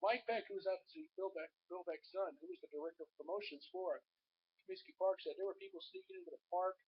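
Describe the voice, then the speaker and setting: Hoarse male sports vlogger, high pitched, rambling, muffled webcam sound. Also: fast. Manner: chatty, rambling, addresses viewers as folks. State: hoarse with a cold. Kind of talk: casual sports commentary to camera. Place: home.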